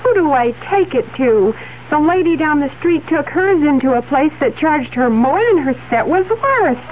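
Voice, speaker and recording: High-pitched fretful housewife. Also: female voice, animated, normal speed, slight background noise. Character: fretful housewife with a broken television. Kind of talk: 1970s radio ad acting. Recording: tinny radio, faint music behind.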